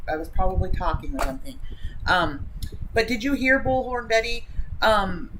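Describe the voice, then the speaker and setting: A woman's voice: medium pitch, moderate pace, noisy background. A woman hosting a livestream, chatting casually with viewers in a rambling way and losing her thread. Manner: rambling, loses train of thought. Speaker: livestream host.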